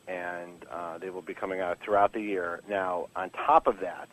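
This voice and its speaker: Low-pitched man, rambling and informal. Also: flat delivery, moderate pace, a bit bright, rough recording. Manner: rambling, stop-start delivery with many false starts; informal, candid, joking. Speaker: comic book writer being interviewed. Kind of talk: casual podcast interview.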